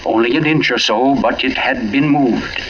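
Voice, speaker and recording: An actor playing a narrator telling an eerie mystery in dramatic, measured 1940s radio style. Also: male voice, mid-range voice, a bit bright, natural voice. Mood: ominous. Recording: muffled vintage 1940s radio recording. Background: prominent dramatic music.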